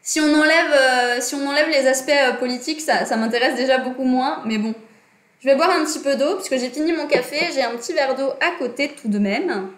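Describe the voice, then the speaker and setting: A woman's voice: high voice, fairly quick, fairly bright tone. Chatty young woman streaming from an echoey room. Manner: chatty, many fillers and self-corrections. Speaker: livestream host. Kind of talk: casual livestream talk on design and politics. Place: small room indoors.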